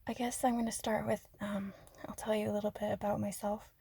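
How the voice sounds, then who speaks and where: Soft-spoken woman with a gravelly, husky hush. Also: mid-range voice, flat delivery, brisk, no echo. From Arizona, USA. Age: adult. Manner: soft, half-whispered, self-deprecating, giggly. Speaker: ASMR creator. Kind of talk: soft-spoken rambling ASMR chat.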